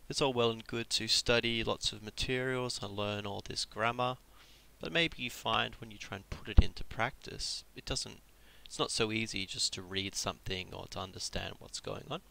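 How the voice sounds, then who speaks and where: A man's voice: lowish voice, normal speed, creaky, bright, forceful tone, a little hiss or hum, poor audio. A man with a cold giving a casual live-streamed Japanese lesson, explaining step by step with frequent ums and restarts. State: has a cold. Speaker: Japanese tutor.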